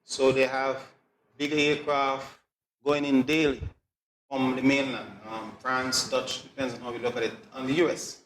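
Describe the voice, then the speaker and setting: A middle-aged man, explaining things as an interview guest in a measured, phrase-by-phrase way. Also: medium pitch, flat delivery, a bit bright. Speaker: air traffic controller, interview guest. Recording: echoey room, light background noise.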